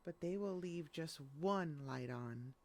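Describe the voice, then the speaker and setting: A woman reading a rhyming children's picture book aloud in an expressive storytelling style. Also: deep voice, takes it slowly.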